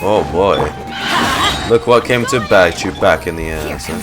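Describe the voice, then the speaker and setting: A male streamer reacting casually to a game in a low voice. He talks in an offhand, slangy way while very loud game music plays behind him. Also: expressive, measured pace. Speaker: gaming streamer.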